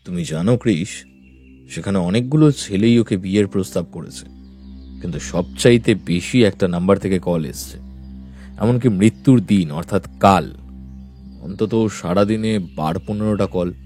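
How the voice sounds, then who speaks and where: A senior police officer played by an actor gives a briefing in an audio drama. He has a low voice and a deliberate, businesslike manner, over faint music. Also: mellow, natural voice. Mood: informative. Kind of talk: audio story dialogue acting.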